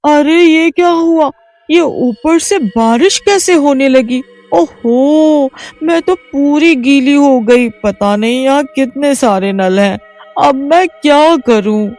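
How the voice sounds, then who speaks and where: A jumpy young village woman in a spooky cartoon, voiced by a woman, high and shrill and quick to wail. Her voice swoops across a wide range, and a faint music bed sits behind her. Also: big pitch swings, darkish tone, exaggerated voice. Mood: panicked. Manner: shrill and wailing, easily frightened. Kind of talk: cartoon voice acting.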